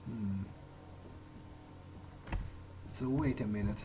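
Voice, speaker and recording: A man thinking aloud in character as he plays a roleplaying game, musing haltingly to himself. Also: fairly low pitch, noisy background.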